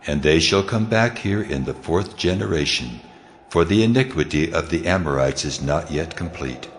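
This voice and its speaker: A man's voice: rumbling low, lively intonation, moderate pace, a little hiss or hum. An actor playing God in a dramatized Bible reading, a solemn and commanding male divine voice making declarations. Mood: solemn, prophetic. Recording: long cavernous reverb.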